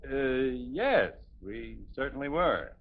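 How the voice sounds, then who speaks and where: A man's voice: medium pitch, sing-song, takes it slowly. An actor playing a sardonic, exasperated middle-aged suburban father, dry and stern, in 1950s radio comedy style. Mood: patient, affable. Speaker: father in a family sitcom. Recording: muffled, faintly noisy 1950s radio recording.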